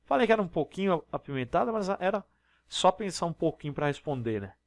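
A man with a Brazilian accent works through exam problems one step at a time, often checking that the listener is following. His voice sits a little high. The recording is dry and close, with no room sound. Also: very animated, fairly quick, no echo. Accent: Brazilian Portuguese. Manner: explanatory, step-by-step, frequent tag questions. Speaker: math teacher. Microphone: voice-over on screen recording.

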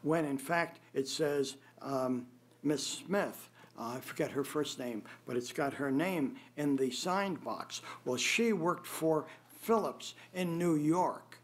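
An older man giving formal, unscripted testimony at a public hearing, speaking in a halting, hesitant way with frequent pauses. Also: a little high. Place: government board meeting room, indoors. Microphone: gooseneck table microphone.

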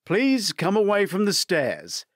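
Man playing a ship captain, commanding, announcing to crowd, lively pitch. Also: a little slow, no room sound, studio quality, exaggerated voice.

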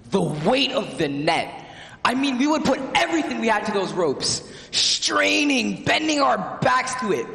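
Young guy doing a grieving guilty disciple monologue onstage, slow and dramatic, kinda high voice, super echoey. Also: heavily acted. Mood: wistful nostalgia. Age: teenager or young adult. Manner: theatrical, emotional, long pauses with swells into shouting. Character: guilt-ridden, grieving disciple of Jesus, a fisherman. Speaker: monologue performer in a talent competition. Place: large indoor hall, live on stage. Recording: echoey large hall, long reverb.

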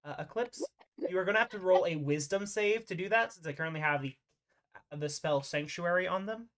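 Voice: medium pitch, brisk, a bit bright. A game master casually narrating an online roleplay over a voice call, in an informal, hesitant way.

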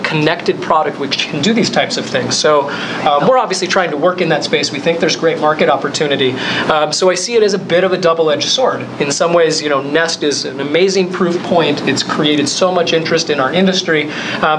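A man with a somewhat high voice speaks on a panel in a measured, businesslike way, often pausing on "um". His voice carries in a conference room. Background noise is clear. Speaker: panelist, manufacturer. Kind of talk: panel discussion.

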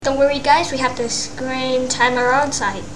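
A woman's voice: high-pitched, fairly even intonation, moderate pace. A woman doing a playful, giggly amateur cartoon dub, ad-libbing hesitantly as she goes. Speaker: amateur voice-over performer. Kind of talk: hobby cartoon fan dub, improvised character lines. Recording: noisy home recording.

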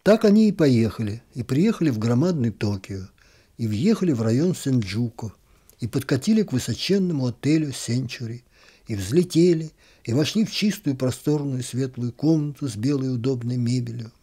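A man reading a literary story aloud in an expressive, gently ironic storytelling manner. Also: mid-range voice, moderate pace, breathy edge.

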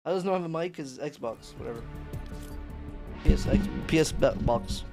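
A man's voice: a little high, moderate pace, over music. A man streaming video games, chatting casually with a slangy, playfully pleading manner and some swearing. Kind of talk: casual gaming chat. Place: indoor room at home.

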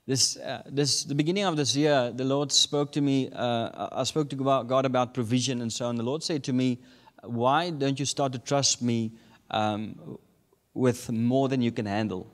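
South African preacher, chuckling and emphatic. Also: male voice, mid-range voice. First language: Afrikaans. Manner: chuckling often, building to emphatic declarations. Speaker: guest preacher. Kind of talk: sermon. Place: large church sanctuary, indoors. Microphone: handheld microphone.

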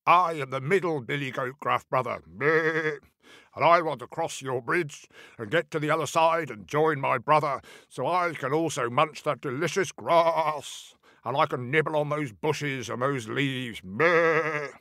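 A man's voice: a little high, unhurried, pushed and bright, no echo, studio quality. A man voicing a wily, pleading middle billy goat brother who bleats between phrases, in a storybook telling. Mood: earnest, bleating. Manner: punctuates speech with bleats.

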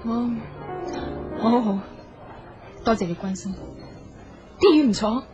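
A woman's voice: a little high, measured pace, lightly acted. A woman with a Hong Kong Cantonese accent acting a proud, curt young designer in a radio drama. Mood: cool, businesslike. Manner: curt, aloof. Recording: dull audio with music underneath.

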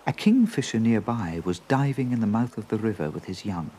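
A man narrating a children's story in an expressive storytelling style. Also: fairly low pitch, brisk, a little hiss or hum.

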